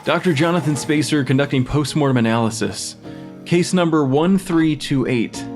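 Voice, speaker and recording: A man's voice: on the high side, moderate pace, a little mellow, faint music behind, lightly acted. An actor playing an awkward, earnest male forensic pathologist in an audio drama, precise but a little hesitant. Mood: clinical. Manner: hesitant, slightly flustered, precise. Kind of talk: full-cast audio fiction acting.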